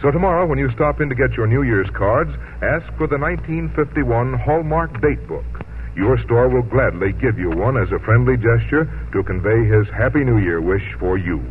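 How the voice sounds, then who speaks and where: A man's voice: mid-range voice, lively intonation, moderate pace. An American man announcing on 1950s radio, reading a sponsor message in a folksy, friendly sales style. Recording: tinny, lightly noisy vintage radio broadcast.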